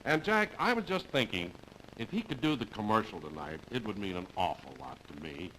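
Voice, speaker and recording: A man's voice: fairly low pitch, expressive, a little fast, fairly bright tone. An American man playing a proud, pushy, short-tempered announcer father in an old television comedy sketch. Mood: proud, eager. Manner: emphatic, blustering. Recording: noisy 1950s television broadcast with audience.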